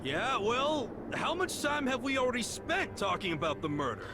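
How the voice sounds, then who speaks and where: A man voicing a defensive, jumpy high school student, reading from a game script. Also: sing-song, normal speed, noisy background, natural voice. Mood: doubtful.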